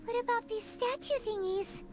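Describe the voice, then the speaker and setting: A woman voicing a small, childlike, excitable female fairy companion in a video game, with a squeaky voice. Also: normal speed, faint music behind. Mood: curious, startled. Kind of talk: English video game voice acting.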